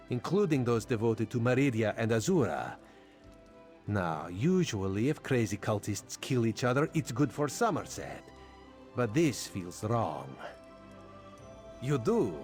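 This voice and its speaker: A man's voice: mid-range voice, very animated, a little slow, darkish tone, faint music behind. A man playing a wily, wry cat-man spy in a fantasy game, speaking theatrically and calling himself by name. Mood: wry, exasperated. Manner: theatrical, refers to self in third person. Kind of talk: video game character dialogue.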